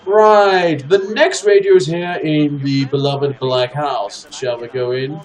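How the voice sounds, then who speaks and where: A man's voice: on the high side, fairly even intonation, slow pace. A man hosting a co-op let's play, commenting casually in wordy, rather formal phrasing. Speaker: host of a co-op gaming series. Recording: faint game noise behind voice. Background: game ambience.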